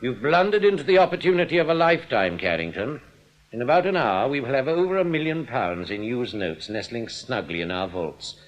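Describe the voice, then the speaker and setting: A man's voice: medium pitch, big pitch swings, brisk, lightly acted. Guy acting smug genial crooked bank boss in a radio play, urbane n patronising, very swoopy voice, bit muffled recording. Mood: smug, confiding. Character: suave, smugly genial crooked bank manager.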